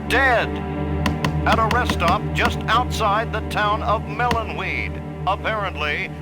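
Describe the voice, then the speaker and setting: An actress playing a dramatic, formal TV news anchor, delivering a scripted report with grave emphasis. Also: female voice, medium pitch, natural voice. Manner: measured, grave, emphatic newsreader phrasing. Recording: loud music behind, game audio.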